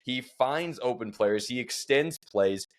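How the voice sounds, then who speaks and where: A man's voice: medium pitch, slow. A young man leading a casual fantasy football podcast, joking with his co-host and running through the matchups with energy. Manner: joking, energetic, leads the show.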